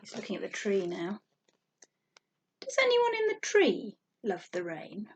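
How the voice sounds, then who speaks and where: Slow-paced woman reading to small children. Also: mid-range voice, husky. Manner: animated, sing-song, drawn-out emphasis for small children. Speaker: storytime reader for young children. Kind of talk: read-aloud picture book storytelling with questions to children.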